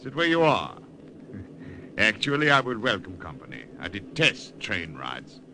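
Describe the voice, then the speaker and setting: An actor playing an imperious field marshal in a 1940s radio drama, clipped and commanding. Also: male voice, lowish voice, wildly swooping pitch, a little slow, gravelly, bright, forceful tone, a little hiss or hum, exaggerated voice. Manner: commanding, clipped, coolly polite. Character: imperious German field marshal, middle-aged man.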